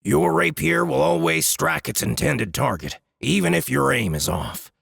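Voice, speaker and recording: A very gravelly, husky dwarf smith for a fantasy audiobook. He booms and brags with smug heartiness, his pitch swinging widely. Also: very animated, normal speed, a bit bright, dry recording, studio quality, heavily acted. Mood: smug, proud. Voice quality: booming, gravelly. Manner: smug, bragging. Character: boastful, hearty male dwarf smith with booming voice. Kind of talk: dramatized fantasy audiobook acting.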